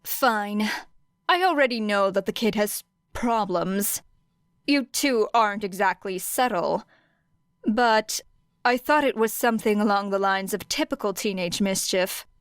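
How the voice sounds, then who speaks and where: A woman's voice: mid-range voice, a little slow, clear, resonant tone, dry recording, studio quality, natural voice. A woman voicing a stern, commanding female hero in an audiobook, with a harsh, authoritative manner. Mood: grudging, uneasy. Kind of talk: audiobook character acting.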